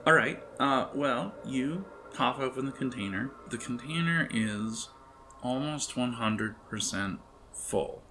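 A man narrating an improvised tabletop game as game master, in a halting, conversational way, speaking directly to his players. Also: mid-range voice, sharp tone, a little hiss or hum.

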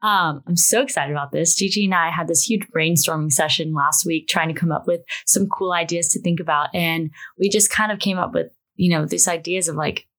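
A young woman with a raspy, somewhat low voice co-hosts a podcast. She talks in an upbeat, casual way and steers the conversation. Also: brisk, few pauses, a bit bright. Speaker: podcast co-host. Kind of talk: casual chat.

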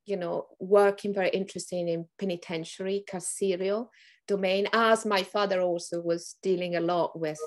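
A woman with an Italian accent hosting an academic podcast, speaking thoughtfully and pausing often with ums as she searches for words. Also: mid-range voice. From Northern Italy. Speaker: podcast host, anthropology professor. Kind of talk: podcast introduction.

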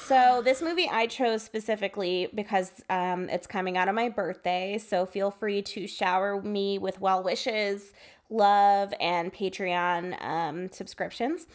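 A woman's voice: mid-range voice, steady tone, a little slow. A woman chatting as a podcast co-host. She talks informally and playfully, with an effusive streak, as if speaking with a close friend. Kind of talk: casual podcast chat.

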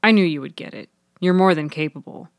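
Woman reading as an earnest shop guy, understated and dry, lowish voice, close dry sound, story podcast. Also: fairly quick, no room sound, lightly acted. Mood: earnest, supportive. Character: mild, earnest male shop worker, dryly teasing.

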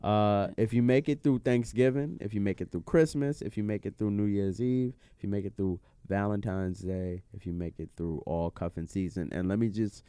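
A woman's voice: very deep voice, normal speed, hardly any pauses, dark tone, smooth, no echo. A woman in casual podcast chat, teasing the hosts in a playful, slangy way.

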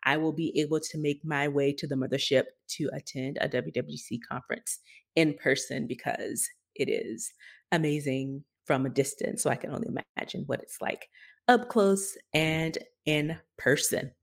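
A woman's voice: deep voice, normal speed, creaky. An American woman co-hosting a podcast, talking through tech news in a conversational style. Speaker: podcast co-host.

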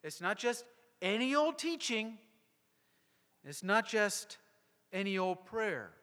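A male pastor preaching a sermon to a congregation, emphatic and rhetorical, with deliberate pauses. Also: high voice, a bit bright. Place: church sanctuary. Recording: long hall reverb.

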